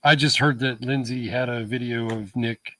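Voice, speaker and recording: A man's voice: mid-range voice, steady tone, normal speed, sharp tone, no echo. A man chiming in offhandedly in a casual podcast chat. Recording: distant mic with hiss.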